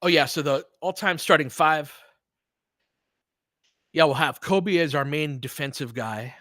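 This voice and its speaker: A man's voice: fairly high pitch, measured pace, pushed and bright, no room sound. A man hosting a casual sports stream, talking conversationally and thinking aloud, often trailing off mid-thought, on a close microphone. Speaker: host of an audio play-by-play fan stream. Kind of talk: casual sports chat, answering listeners during play.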